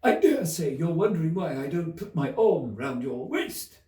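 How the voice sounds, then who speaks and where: A man voicing a gushing, moralizing old Duchess for a storybook, with theatrical, drawn-out, sing-song pronouncements and overbearing affection. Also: fairly high pitch, lively intonation, warm tone, voice pushed up, close to an everyday voice. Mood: affectionate. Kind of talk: theatrical storybook character reading. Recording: roomy, echoey, distant from the mic.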